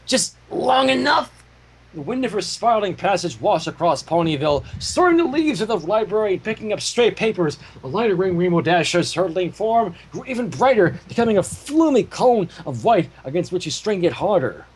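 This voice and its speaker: A man reading a story aloud as narrator, in a dramatic, expressive storytelling manner. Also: sits high, a little fast, hardly any pauses, slight background noise. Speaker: fan fiction reader and narrator. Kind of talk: animated scripted story narration.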